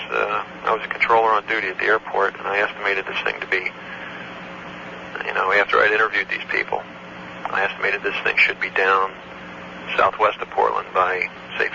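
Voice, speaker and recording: Gravelly low-voiced man on a noisy call. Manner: matter-of-fact, halting recall with technical detail. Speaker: air traffic control supervisor. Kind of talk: recounting an incident on a phone call. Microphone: telephone. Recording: noisy muffled old taped phone call.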